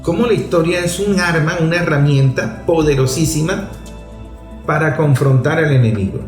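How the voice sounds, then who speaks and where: Venezuelan male radio host, emphatic and teasing, fairly high voice; echoey studio, faint music behind. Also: moderate pace. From Venezuela, Zulia. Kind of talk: radio talk and interview.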